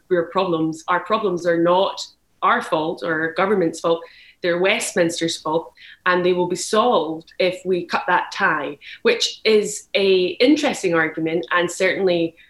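A woman's voice: fairly low pitch, fairly bright tone. A young woman from Glasgow with a Scottish accent, giving candid, thoughtful interview answers and often restarting her phrases. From Glasgow, Scotland. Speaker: journalist guest. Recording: echoey room.

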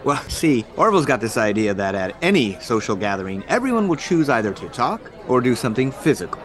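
A man's voice: mid-range voice, very animated, brisk, lightly acted. An actor playing an easygoing, amiable young man out dancing in a 1920s audio drama. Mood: cheerful, amused. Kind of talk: audio drama period dialogue. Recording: busy party crowd behind.

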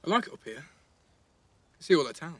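A man's voice: on the high side, very animated, normal speed, breathy edge, no room sound. A man playing a cheeky, restless teenage schoolboy in care, blunt and slangy, in a naturalistic audio drama. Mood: soft, teasing.

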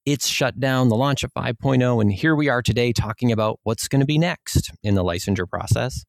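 A man's voice: mid-range voice, a little fast, gravelly, dry recording. A man with a Minnesota accent, an exam executive explaining his work in an easygoing way as a podcast guest. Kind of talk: podcast interview.

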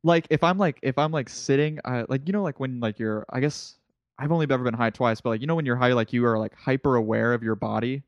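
A man's voice: mid-range voice, quick pace, dry recording, highs cut off. A man in his early twenties, a comedian guest telling rambling stories with lots of restarts in a casual podcast chat. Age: 20s. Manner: eager, rambling storyteller with many restarts.

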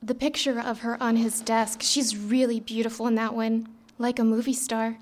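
An actress playing a gossipy, weary 1950s office secretary in a live radio drama on a theater stage. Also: female voice, fairly high pitch, flat delivery, normal speed, natural voice. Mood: conspiratorial. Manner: chatty, gossipy.

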